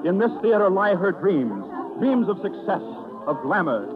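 A man's voice: high-pitched, big pitch swings, moderate pace. Male narrator, 1930s radio drama: suspenseful, theatrical delivery over music on a narrow, tinny recording.

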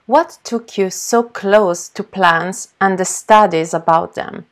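A young woman with an Italian accent, slowly and carefully reading interview questions on a video call. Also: mid-range voice. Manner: slow, deliberate. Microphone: webcam on a video call.

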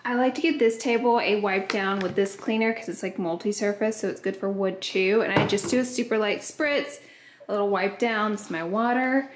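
A woman in her thirties chatting straight to viewers in a bubbly, casual vlog style while moving around a bright home, recorded on a camera mic at a distance. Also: mid-range voice, moderate pace, noisy background. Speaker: lifestyle vlogger. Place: bright open-plan home, living room and kitchen. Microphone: camera mic, filmed at a distance while moving around. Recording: roomy echo from a large room.

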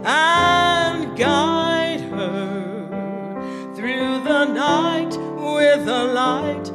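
Very high, slow operatic soprano with piano. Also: female voice. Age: middle-aged. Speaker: soprano singer. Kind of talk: operatic patriotic solo singing. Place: large church hall, on stage before a live audience. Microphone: handheld microphone. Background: piano accompaniment.

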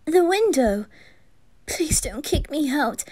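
A woman's voice: high voice, animated, slow, dark tone, voice pushed up. A woman voicing a fragile teenage boy in an anime fan roleplay, emotional, pleading and faltering.

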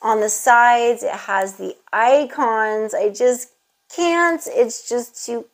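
A woman's voice: on the high side, slow. A woman in her thirties gushing to viewers as she unboxes handbags in a casual vlog, on a lapel mic indoors. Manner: gushing, enthusiastic, addresses viewers as "you guys". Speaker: luxury handbag vlogger. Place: indoor room with handbag shelves. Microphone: clip-on lapel mic.

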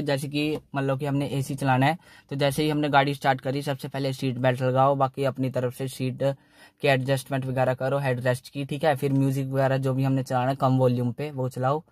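A man's voice: medium pitch, a little hiss or hum. A young man explains driving tips in a loose, repetitive way, saying "theek hai" again and again, recorded on a phone inside a parked car. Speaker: car channel host. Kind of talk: casual how-to talk in a parked car.

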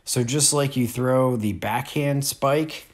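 Slow-talking man explaining drills. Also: medium pitch. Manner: relaxed, unhurried explaining with fillers. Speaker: disc golf instructor. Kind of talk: casual instructional voice-over. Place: outdoor field.

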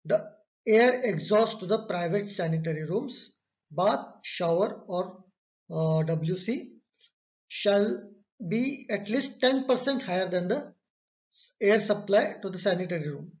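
A man's voice: high voice, animated, unhurried. A man, lecturing in an online course and repeating key phrases for emphasis. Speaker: instructor. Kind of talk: online course lecture walking through slides. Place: indoor room, webcam overlay on slides. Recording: slightly dull lo-fi recording.

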